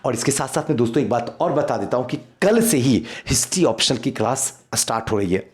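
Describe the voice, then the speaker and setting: Bihari guy teacher doing exam q and a, really lively up and down voice, repeats answers. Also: medium pitch. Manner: instructive, repeats key answers for emphasis. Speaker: history teacher for civil service exam coaching. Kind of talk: exam quiz lesson, reading questions and explaining answers.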